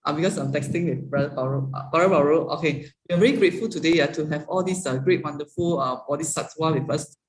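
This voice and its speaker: A man moderating an online meeting, polite and halting, with many fillers. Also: fairly high pitch, reverberant, far from the mic, a little hiss or hum, poor audio.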